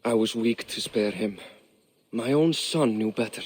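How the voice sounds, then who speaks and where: A wry, weary Viking lord. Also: male voice, fairly low pitch, a little slow, darkish tone. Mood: rueful. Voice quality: rueful, dryly joking. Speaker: Viking king of Dublin. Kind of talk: video game cutscene acting.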